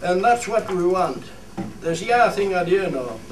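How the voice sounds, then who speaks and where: A man's voice: high voice, big pitch swings, normal speed. A man playing a hearty old shepherd who chairs a village meeting in a radio play, with a Cumbrian accent and a homely, rallying manner. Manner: homely, rallying, repeats phrases. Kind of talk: dialect radio play acting. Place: theatre studio. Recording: roomy, distant mic, faint noise.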